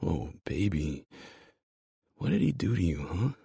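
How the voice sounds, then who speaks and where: A man playing a protective, stubborn young athlete in an audiobook, earnest and emphatic with a husky voice. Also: low voice, very animated, measured pace, warm tone, no echo, clean studio sound, heavily acted. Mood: tender. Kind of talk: audiobook character dialogue.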